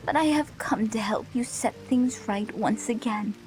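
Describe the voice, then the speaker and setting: A woman voicing a gentle, forgiving animal-folk wife in a video game, tender and soothing. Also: a little high, a little slow, close to an everyday voice, poor audio. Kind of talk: dramatic video game voice acting. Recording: loud music and rain behind.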